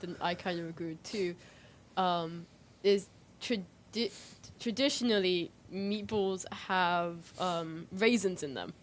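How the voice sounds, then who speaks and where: A woman in casual chat as a show panelist, telling anecdotes in a hesitant way. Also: fairly low pitch. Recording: clear background noise.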